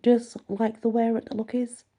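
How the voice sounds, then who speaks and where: Teenage werewolf heroine, acted by a woman: playful, smitten, animated teasing, mellow husky tone, fantasy storybook. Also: a little high, measured pace, pitched above the performer's own voice. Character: seventeen-year-old werewolf girl, playful, teasing, smitten.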